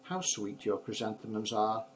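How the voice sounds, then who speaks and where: Older man playing storybook doctor, fussy, pompous, self-satisfied, lowish voice, faint recording noise. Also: animated, normal speed, lightly acted.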